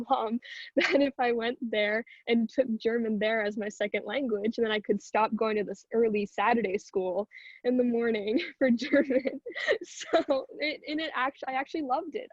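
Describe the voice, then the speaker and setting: Young woman, American accent, late teens, fairly high voice, casual and chatty, slightly dull recording. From Houston, United States. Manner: informal, chatty, frequent "like". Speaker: singer and narrator being interviewed. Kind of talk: casual chat. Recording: dull, roomy sound.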